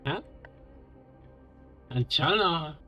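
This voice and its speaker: A man's voice: a little high, lively intonation, drawn-out words, sharp tone, highs cut off, faint music behind. A man giving casual live reaction commentary as a game streamer.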